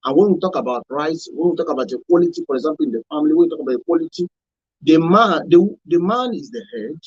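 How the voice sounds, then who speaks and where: A man's voice: fairly high pitch, animated, fast, breathy edge, no echo. A man with a Nigerian accent speaking as a radio panel guest, explaining earnestly and repeating points for emphasis. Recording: dull, band-limited radio sound.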